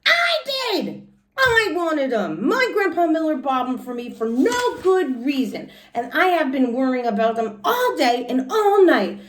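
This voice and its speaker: A woman voicing an excitable, stubborn little girl telling her own story with emphatic, childish grammar in a storybook read-aloud. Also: sits high, a little slow, pitched above the performer's own voice. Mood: dramatic, aggrieved. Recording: echoey room, distant from mic.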